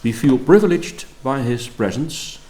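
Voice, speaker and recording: A man chairs a formal academic ceremony, speaking courteously at a middle pitch. He thanks the guest examiner and hands over the floor in measured tones. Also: lively intonation, mellow. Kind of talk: formal ceremonial speech in English.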